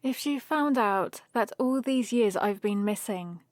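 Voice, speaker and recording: A woman's voice: mid-range voice, lively intonation, measured pace, natural voice. A nerdy young adventuring heroine, played by a woman, chats to the listener in an ASMR roleplay. She rambles cheerfully and explains things in a friendly way, taking her time. The sound is dry and free of room echo. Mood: excited.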